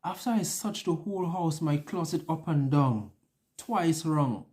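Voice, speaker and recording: Young guy vlogging about his life, soft mellow voice, chatty, acts out bits as he tells them. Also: fairly high pitch, lively intonation, measured pace. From an island. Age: young adult. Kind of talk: casual personal storytelling to camera.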